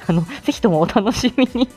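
Giggly, lively woman hosting a chat. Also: on the high side, moderate pace, soft background music. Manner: giggly, chatty, exclaiming. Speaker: live audio show host. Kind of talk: casual morning livestream chat.

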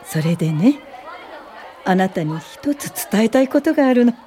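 An actress playing a gentle older woman professor of social work in a radio drama, speaking softly, politely and without hurry in a warm, encouraging way. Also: on the high side, very animated, a faint crowd, a little hiss or hum. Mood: gentle, reassuring. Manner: soft, polite, unhurried, old-fashioned feminine Japanese. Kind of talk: radio drama acting and reminiscing narration.

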